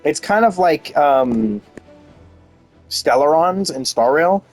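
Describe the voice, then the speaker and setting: A man's voice: fairly high pitch, expressive, takes it slowly, soft background music. Casual male game streamer explaining lore. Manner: informal, explanatory, self-interrupting. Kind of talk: casual off-the-cuff game commentary.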